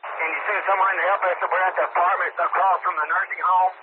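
A man calling in from the scene over a field radio, short and terse as he asks for help. His voice sits in the middle range. It comes through narrow and tinny, nearly drowned by loud static hiss. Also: sits high, little pitch movement, quick pace. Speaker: firefighter at the scene. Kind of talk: emergency radio traffic. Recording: phone-thin radio buried in loud static.

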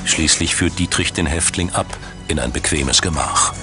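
Deep-voiced man narrating a documentary. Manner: measured, dramatic storytelling. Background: background music.

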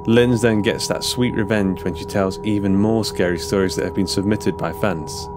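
A man's voice: fairly low pitch, steady tone, normal speed, noisy background. A man announcing for a horror podcast, reading a scripted sponsor message and episode introduction.

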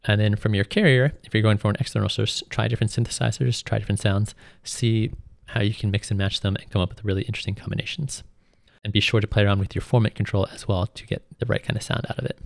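Man, instructor, lowish gravelly voice, informal tutorial narration, step-by-step. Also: fairly even intonation, fast, no room sound. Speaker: music production instructor. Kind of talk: screen tutorial narration, explanatory.

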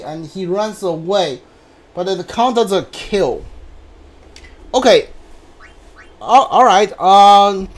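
Slow, high-pitched man streaming a game. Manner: hesitant, halting, thinking aloud. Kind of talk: casual live gameplay commentary.

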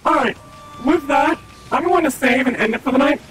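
A man streaming a game live who chats casually and upbeat to his viewers, with a slightly raspy voice. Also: very high voice, fairly quick, a bit bright, faint music behind. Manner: chatty, upbeat, talks to chat.

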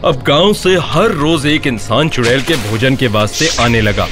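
A man narrating a scripted animated horror tale as a storyteller. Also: medium pitch, moderate pace, music in the background.

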